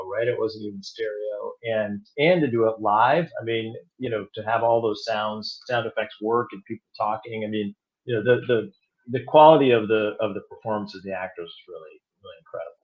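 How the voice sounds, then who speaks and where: Low-voiced man, halting and thoughtful. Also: muffled recording. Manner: halting, thoughtful, self-correcting. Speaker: audio drama producer and director, interview guest. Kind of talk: casual interview chat.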